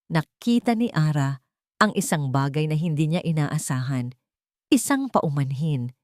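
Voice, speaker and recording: A woman narrates a dramatic love story from a script. Her voice sits fairly low, and the sound is dry and close, with very little room in it. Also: a little mellow, dry recording.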